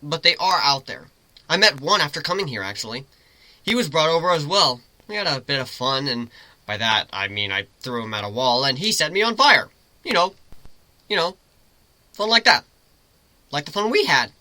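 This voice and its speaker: Male reader as wisecracking griffin hero, informal and teasing, sharp bright tone, dry close sound. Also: a little high, big pitch swings, normal speed, pauses often, no room sound, full character voice. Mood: reassuring, joking. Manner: informal, teasing, rambling explanations. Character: cocky, joking male griffin, formerly a troubled human.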